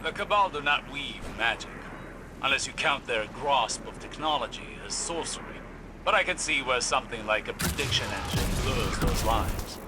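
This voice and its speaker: An actor playing a formal, dry-witted male ally who speaks in precise, measured sentences, with noticeable background noise. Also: medium pitch, animated, natural voice.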